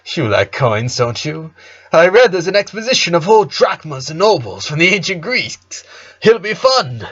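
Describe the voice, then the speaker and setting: Man putting on a bright, high-pitched cheerful dad. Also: big pitch swings, moderate pace, muffled recording. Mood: upbeat, coaxing. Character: cheerful middle-aged dad, brightly upbeat and insistent.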